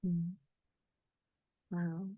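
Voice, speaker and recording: A woman's voice: on the low side, monotone, extremely slow, dry recording. A woman hosting a podcast and putting conversational questions to a guest. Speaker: podcast host and interviewer. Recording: dry, dull lo-fi sound.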